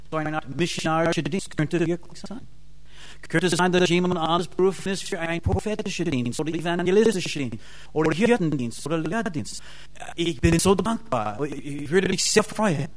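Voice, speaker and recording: American man preaching in halting German. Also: on the high side. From United States. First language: English. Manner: halting, searching for words, many fillers and restarts; fervent bursts of praise. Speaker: pastor and Bible school teacher. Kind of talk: sermon.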